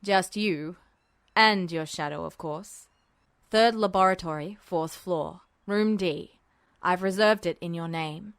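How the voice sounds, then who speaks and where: A woman voicing a guarded male officer who speaks low, clipped and commanding, in a fan audiobook reading. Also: slow pace, smooth, no room sound, clean studio sound. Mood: low, urgent.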